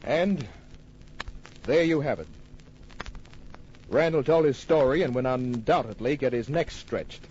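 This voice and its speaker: A man acting a hard-boiled private eye from vintage radio, with a mid-pitched voice and a laconic, wry delivery. The recording is dull and noisy, like an aged broadcast of a crime serial. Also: a little slow, long pauses, a little mellow, close to an everyday voice. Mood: hard-boiled narration. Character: tough, wry private detective narrating his case. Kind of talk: old-time radio crime drama narration and acting.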